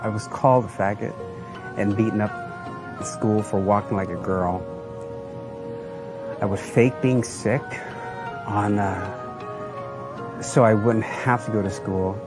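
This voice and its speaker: A man's voice: lowish voice, fairly even intonation, slow pace, pauses often. A man giving an earnest personal testimonial, telling his story plainly in short phrases. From Southeast Asia and the United States. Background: music.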